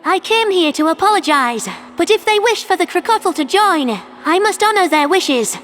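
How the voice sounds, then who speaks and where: A man's voice: very high voice, animated, normal speed, a bit bright, faint music behind, pitched above the performer's own voice. A man voicing a dignified, contrite female avian alien leader with a formal, penitent manner. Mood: contrite, resolved.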